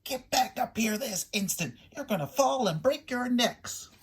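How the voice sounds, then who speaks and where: Bossy mother bird, played by a man in a storybook, shrill and loud, very lively pitch. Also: a little slow, slightly rough, heavily acted. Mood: scolding.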